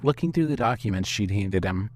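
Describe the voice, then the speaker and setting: A man's voice: on the low side, animated, fairly quick. A man reading scripted story narration as the narrator of an audio fiction piece. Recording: faint noise and hum.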